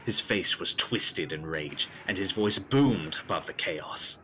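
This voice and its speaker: A man's voice: low voice, takes it slowly, sharp tone, a little hiss or hum. A man delivering scripted, dramatic narration of a gothic horror story.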